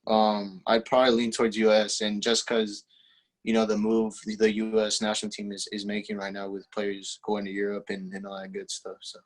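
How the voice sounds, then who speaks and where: A teenage man whose first language is Spanish talks casually as an interview guest. His voice sits fairly low, and his answers come out relaxed and halting, with lots of "um" and restarts. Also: poor audio. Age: teens. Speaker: guest, young footballer.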